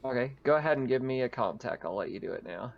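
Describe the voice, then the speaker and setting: A man with a mid-pitched voice acts as game master for a tabletop roleplaying session. He narrates casually, making it up as he goes in halting phrases. The recording is a little dull. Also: expressive, creaky.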